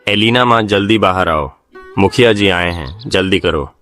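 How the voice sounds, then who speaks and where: Guy doing worried pleading village lad, deep voice, flustered, cartoon story w sitar behind. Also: moderate pace, fairly bright tone, faint music behind. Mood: urgent, alarmed. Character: anxious, pleading young villager, devoted son.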